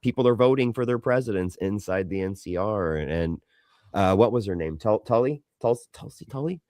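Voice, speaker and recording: A man with a very low, deep voice talks with blunt enthusiasm and casual swearing. The sound is dry and close, with no room around it. He drives his points home with emphasis. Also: lively intonation, normal speed, a little mellow, no echo. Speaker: podcast co-host. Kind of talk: casual gaming banter.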